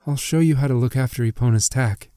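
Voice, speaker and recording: A man's voice: mid-range voice, fast, warm tone, no echo, clean studio sound, pitched above the performer's own voice, lightly acted. A man voicing a plucky, friendly young woman from the stables, light and earnest, in a storybook reading. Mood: shaken, friendly.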